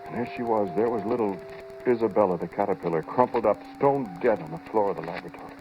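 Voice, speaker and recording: An actor playing a haunted inventor who narrates a terrifying experiment in an old-time radio horror drama, halting and intense. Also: male voice, fairly low pitch, background noise, natural voice. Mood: grave, subdued. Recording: muffled old radio recording, music behind.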